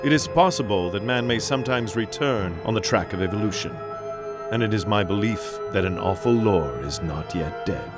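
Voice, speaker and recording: A man's voice: fairly low pitch, lively intonation, moderate pace, lightly acted. Man doing a old radio announcer, theatrical n earnest, music playing under him. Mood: portentous. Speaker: radio announcer and sponsor host. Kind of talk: 1930s radio announcing, melodramatic. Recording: music behind the voice.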